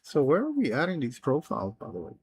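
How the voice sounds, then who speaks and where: Halting man thinking aloud, dry sound. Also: mid-range voice, wildly swooping pitch, darkish tone, husky, dry recording. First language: Spanish. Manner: halting, reads plans aloud with many restarts. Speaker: developer streaming live. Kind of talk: thinking-aloud live coding stream.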